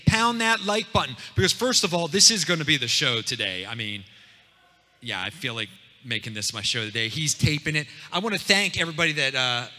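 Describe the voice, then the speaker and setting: Man, energetic loud speaker, bright forceful tone, long room reverb. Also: a little high. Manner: hyped, pumped-up delivery. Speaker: speaker at a meetup, channel host. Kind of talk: live talk to a crowd. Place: meetup room.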